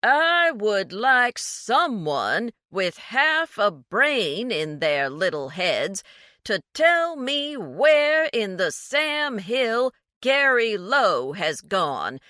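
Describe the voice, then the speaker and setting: A woman voicing a man who poses as a snobby rich client, shouting in a slow, condescending drawl. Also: mid-range voice, very animated, no room sound, studio quality, voice pushed up, exaggerated voice. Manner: loud elongated drawl, condescending.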